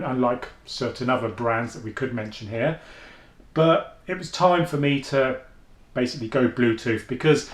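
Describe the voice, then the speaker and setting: A man, chatting through a casual headphone review at home and thinking aloud as he goes. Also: reverberant. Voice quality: deep voice. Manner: chatty, thinking aloud, with frequent restarts and fillers. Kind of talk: casual product review vlog. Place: at home, indoors.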